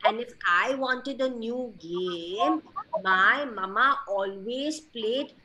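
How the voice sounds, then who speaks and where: A woman voicing a strict but loving old grandmother duck who scolds slowly and firmly. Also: on the high side, bright, forceful tone, low-quality recording. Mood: scolding. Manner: scolding, slow and firm.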